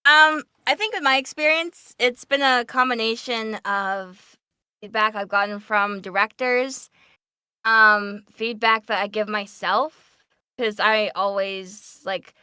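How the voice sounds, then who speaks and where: A woman speaking casually as a voice actor answering questions on a panel, in an animated, chatty way. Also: fairly high pitch, takes it slowly, clear, resonant tone, no echo, studio quality.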